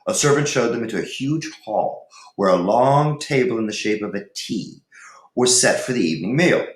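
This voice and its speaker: A man teaching and reading aloud to students in a deliberate, instructive way, with pauses. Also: mid-range voice, animated. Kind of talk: lesson talk and chapter read-aloud. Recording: echoey, reverberant room.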